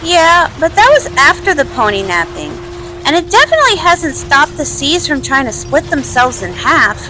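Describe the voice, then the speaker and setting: A woman voicing an earnest, bubbly young pony princess in a cartoon fan dub, girlish and expressive. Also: high-pitched, moderate pace, music in the background, low-quality recording. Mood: relieved, worried.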